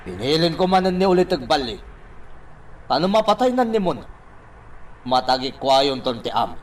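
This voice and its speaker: Animated, higher-voiced greedy schemer. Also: male voice, moderate pace. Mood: calculating. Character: greedy, scheming young man plotting for wealth. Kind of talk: Ilocano radio drama acting. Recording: slightly distant from microphone.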